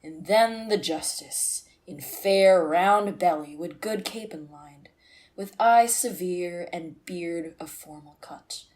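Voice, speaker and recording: A woman's voice: fairly high pitch, darkish tone. A woman playing a cynical, melancholy philosopher lord in a Shakespearean radio play, with theatrical verse delivery.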